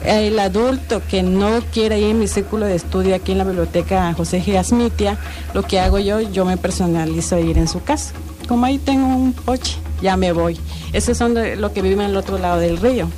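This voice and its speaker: A woman's voice: medium pitch, a little fast, over music. Yocotán-woman, hesitant. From Tabasco, Mexico. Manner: hesitant, frequent fillers. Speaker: adult literacy tutor. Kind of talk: radio interview answers.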